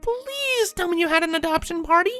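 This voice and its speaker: A woman voicing a bubbly, excitable young female pegasus athlete who gushes gleefully in a story. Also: very high voice, brisk, soft background music, pitched above the performer's own voice. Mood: cooing. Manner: gushing, gleeful.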